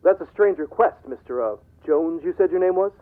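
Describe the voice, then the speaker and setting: An actor playing a wary, wryly amused millionaire with a secret, in theatrical old radio serial style. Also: male voice, on the high side, animated, fairly quick, close to an everyday voice. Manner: suspicious, theatrical. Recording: tinny old wire recording playback.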